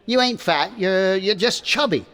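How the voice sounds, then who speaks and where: A man's voice: high-pitched, expressive, measured pace, bright, forceful tone, exaggerated voice. A man playing a blustery, bumbling middle-aged husband with folksy, slangy talk, in 1940s radio comedy style. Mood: flattering.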